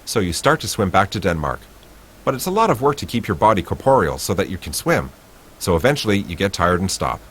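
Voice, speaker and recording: A man's voice: sits low, sing-song, fairly quick, breathy edge. A man reading a text adventure game aloud with playful asides.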